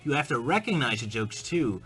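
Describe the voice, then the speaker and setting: Bright-voiced man musing casually. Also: mid-range voice, very animated, normal speed, slightly rough, faint music behind. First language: Dutch. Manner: musing, conversational, bursts into playful mock singing. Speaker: video essay host. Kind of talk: casual spoken commentary.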